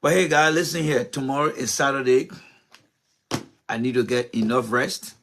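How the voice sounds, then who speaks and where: A man's voice: medium pitch, steady tone, normal speed, gravelly, fairly bright tone, a little hiss or hum. A man hosting a political commentary show, talking with passion, throwing out rhetorical questions and repeating his points. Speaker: host.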